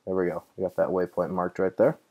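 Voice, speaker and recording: A young man hosting casual let's-play commentary, chatty and easily excited, thinking aloud with fillers, his voice a little off from being slightly sick. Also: low-pitched, fast, breathy edge, phone-quality sound. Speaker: gaming channel host.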